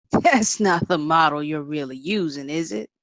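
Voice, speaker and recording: A woman at a table read, playing a sharp, candid and skeptical male engineer. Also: low-pitched, measured pace, no room sound. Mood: incredulous.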